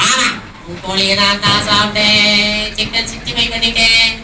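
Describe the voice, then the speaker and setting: A woman's voice: medium pitch, little pitch movement, normal speed, over loud music, lightly acted. Cheeky naughty puppet boy, a woman voicing him. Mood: taunting. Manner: teasing, mischievous, laughing. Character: naughty boy Tinku, cheeky chicken thief. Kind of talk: children's puppet show. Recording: echoey hall, far mic, noisy.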